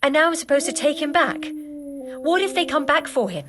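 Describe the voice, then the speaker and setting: Casting: fearful but stubborn village mother in a game drama, high-pitched, sceptical. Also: animated, a little fast. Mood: anxious, doubting. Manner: doubting, reluctant. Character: wary protective mother, stubborn and fearful of bandits. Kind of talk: video game cutscene acting.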